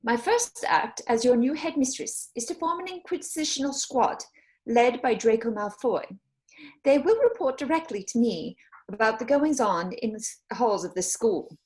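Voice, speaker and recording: A woman's voice: a little high, lively intonation, normal speed, a little mellow, close to an everyday voice, rough recording. A woman playing a smug, officious middle-aged headmistress in a script read, prim and formal. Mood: smug, official. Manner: prim, formal, self-satisfied. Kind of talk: table read of a film script.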